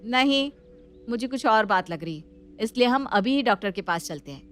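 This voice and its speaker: High-voiced, tender young housewife, acted by a woman. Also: expressive, moderate pace, a bit bright, slight background noise. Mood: worried.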